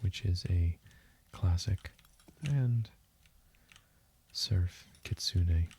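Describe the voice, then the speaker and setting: A man giving calm, soothing game commentary in a hushed, deliberate voice that drifts toward a whisper, as if for sleep. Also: low voice, gravelly. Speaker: gaming video host. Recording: faint hiss with keyboard and mouse clicks. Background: keyboard typing and mouse clicking.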